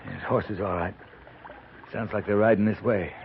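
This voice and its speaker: A man's voice: on the low side, fairly even intonation, moderate pace, creaky. A man playing a wounded, weary cavalry captain in a 1950s radio western, terse and commanding, short of breath from his injury. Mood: hushed, tense. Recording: noisy, tinny 1950s radio broadcast.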